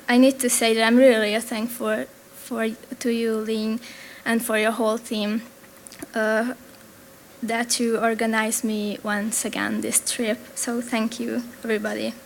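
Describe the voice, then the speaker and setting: A young woman from Transylvania, a native Hungarian speaker with a Hungarian accent, presenting her artwork in a nervous, hesitant way with many restarts. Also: a little high. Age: young adult. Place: event venue.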